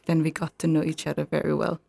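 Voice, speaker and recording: Woman in her 30s, lowish gravelly voice, slow and soft-spoken, dry sound. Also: flat delivery, no echo. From Saint Vincent. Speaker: guest. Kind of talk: casual interview talk. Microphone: studio mic.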